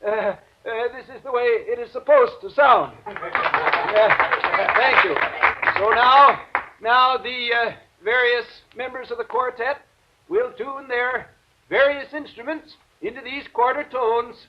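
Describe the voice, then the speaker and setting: An actor in vintage radio drama playing a fussy, apologetic concert announcer, hesitant, wordy and genteel, stammering through a formal introduction. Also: male voice, very high voice, takes it slowly, heavy background noise. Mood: nervous, apologetic. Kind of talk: 1940s-50s radio drama acting, formal stage introduction. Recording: dull vintage radio recording.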